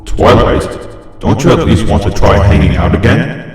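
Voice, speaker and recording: A man voicing a possessive living stuffed toy that talks with slow, falsely friendly menace in a horror story reading. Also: fairly low pitch, sing-song, a little mellow, pitched below the performer's own voice. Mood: coaxing. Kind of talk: horror fan fiction reading. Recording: heavy cavernous echo and long reverb.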